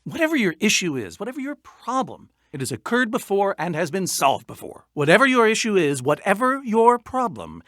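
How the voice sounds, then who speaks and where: An actor playing an eccentric, lonely, reclusive adventurer in a video game, theatrical and wordy, with dry self-pity and wistfulness. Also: male voice, sits high, a bit bright, dry recording, exaggerated voice. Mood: exasperated. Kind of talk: animated video game character acting.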